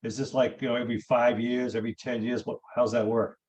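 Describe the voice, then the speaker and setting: A man on the council puts a question to staff, rambling through it with plenty of fillers. His voice sits at an ordinary pitch. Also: flat delivery, brisk, darkish tone. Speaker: council member.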